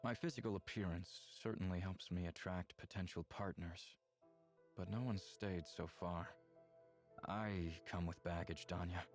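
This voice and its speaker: An actor playing a gentle, melancholy alien man in a visual novel, speaking in an earnest, measured, subdued way. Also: deep voice, steady tone. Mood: serious, wistful. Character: gentle, melancholy adult male alien neighbor. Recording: music under the voice.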